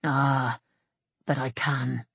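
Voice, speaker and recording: A narrator voicing a soft, grave, probing monk, crisp man. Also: mid-range voice, dry recording, muffled recording, natural voice. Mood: grave, certain. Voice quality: soft-voiced. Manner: grave, measured, reassuring. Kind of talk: audiobook character dialogue.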